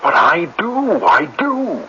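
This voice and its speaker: A man's voice: high voice, sing-song, slow, full character voice. An actor playing a helpless rich businessman in a radio drama, hesitant, stammering and protesting. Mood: desperate. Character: flustered, helpless rich businessman among tribespeople. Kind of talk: 1970s radio drama acting. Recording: muffled, noisy old radio recording.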